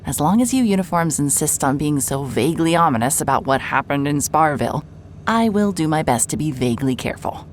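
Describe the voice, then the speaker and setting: An actress playing a sardonic, hard-hearted private investigator who talks in dry, wisecracking sarcasm. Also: female voice, low-pitched, expressive, a little fast, a little hiss or hum. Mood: sarcastic. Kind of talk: audio drama acting and first-person narration.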